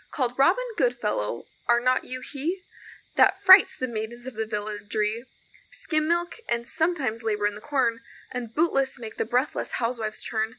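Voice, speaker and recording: Bright, high-voiced fairy played by a woman. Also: heavily acted, rough recording. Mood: curious, teasing. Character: nimble wandering fairy servant of the fairy queen. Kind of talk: Shakespearean audio play acting in rhyming verse.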